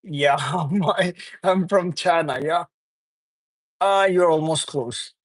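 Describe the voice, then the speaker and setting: Young male streamer, slightly high voice, from Bangladesh; loose, chuckling banter with chat, dry close sound. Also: takes it slowly, no echo. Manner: chuckles often, reads viewer comments aloud; loose, repetitive, teasing banter. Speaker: livestream host. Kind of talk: casual live chat with viewers.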